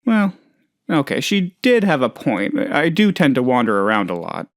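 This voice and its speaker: A man's voice: mid-range voice, sing-song, normal speed, no echo, studio quality, close to an everyday voice. A man playing a hapless, trusting, lonely oddball in a video game, talking in a rambling, hesitant, good-natured way. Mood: hesitant, wounded. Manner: rambling, hesitant, naive, chatty. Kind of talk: video game character dialogue.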